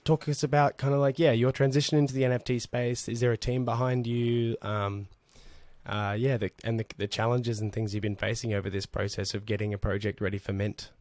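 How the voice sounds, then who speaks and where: A man hosting a casual online chat with artists, relaxed and rambling, with many fillers and restarts. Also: medium pitch, fairly quick, no echo. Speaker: host.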